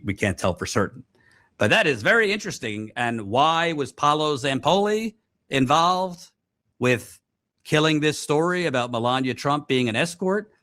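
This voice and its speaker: A man hosting a news commentary show, speaking deliberately and repeating points for emphasis. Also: mid-range voice, fairly bright tone, no echo, clean studio sound. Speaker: host, commentator. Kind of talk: news commentary to camera, reading out quotes.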